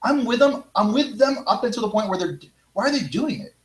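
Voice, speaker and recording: A young man co-hosting a live podcast from a home living room on a handheld mic, trading sarcastic deadpan jokes. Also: sits high, fairly quick, roomy sound, low-quality recording. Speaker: podcast co-host. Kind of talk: casual chat on a live podcast.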